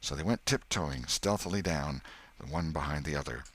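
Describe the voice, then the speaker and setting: A man's voice: a bass voice, moderate pace, a bit bright, husky. A man with an American accent narrating a classic novel as an audiobook storyteller.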